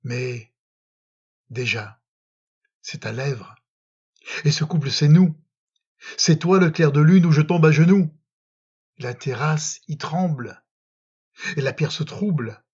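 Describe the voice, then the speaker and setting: Slow, solemn man reciting poetry, slightly husky. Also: a little high, a little mellow, dull audio. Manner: solemn, measured, with frequent pauses. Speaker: poetry reader. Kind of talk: dramatic recitation of love poetry.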